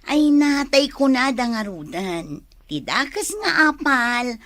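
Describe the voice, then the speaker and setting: A woman's voice: high voice, very animated, takes it slowly, fairly bright tone, no room sound, exaggerated voice. A woman playing a chatty, concerned village housewife in a comedy drama, with a Filipino accent. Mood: concerned, curious.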